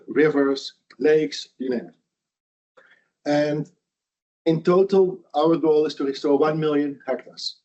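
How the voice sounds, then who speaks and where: A man's voice: a little high, warm tone. A middle-aged man from the Netherlands, a foundation founder giving a lunch lecture in an earnest, conversational way with frequent restarts. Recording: dull lo-fi sound.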